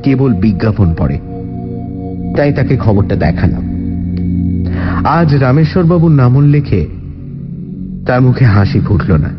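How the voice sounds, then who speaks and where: A man narrating a detective story in a dramatic, theatrical, expressive style. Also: mid-range voice, a little slow, pauses often, dull audio, over loud music.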